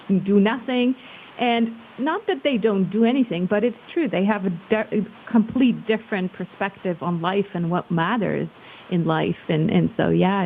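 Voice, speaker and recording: A woman from Switzerland speaking as an interview guest, thoughtful and hesitant in casual conversation. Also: mid-range voice. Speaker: interview guest, marketing professional. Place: remote call. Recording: muffled phone-like line with hiss.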